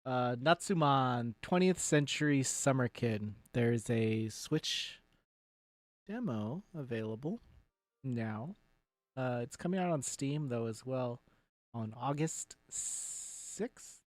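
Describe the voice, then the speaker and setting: A man's voice: medium pitch, expressive, takes it slowly, no echo. A man giving casual, laid-back let's play commentary as he streams a video game.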